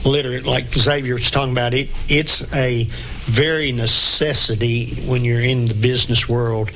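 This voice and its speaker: A man's voice: mid-range voice, steady tone, moderate pace, fairly bright tone, slight background noise, low-quality recording. Folksy man hosting radio chat. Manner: folksy, rambling, homespun grammar. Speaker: radio show host. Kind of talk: casual chat interview.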